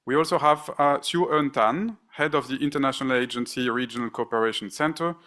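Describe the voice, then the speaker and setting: A man's voice: mid-range voice, fairly quick, a bit bright. A man moderating a conference panel, reading out speaker introductions with frequent fillers and restarts. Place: conference stage.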